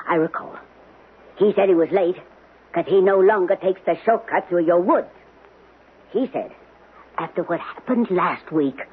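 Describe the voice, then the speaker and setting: A stern, suspicious woman with a husky voice. She interrogates relentlessly in vintage radio drama style. The broadcast sounds thin and tinny. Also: fairly low pitch. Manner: insistent, interrogating. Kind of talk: 1970s radio drama acting.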